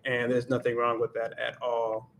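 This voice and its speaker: A man's voice: medium pitch, completely flat delivery, normal speed, vocal fry, fairly bright tone, slight background noise. Reflective man thinking aloud on a livestream. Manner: reflective, thinks aloud, switches into Spanish now and then. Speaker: livestream host and Spanish learner. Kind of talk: casual livestream chat.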